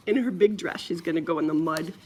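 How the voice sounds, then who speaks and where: A woman's voice: fairly low pitch, moderate pace, noisy background. A woman reading a children's picture book aloud in a playful, sing-song way, with chatty asides. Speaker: storyteller.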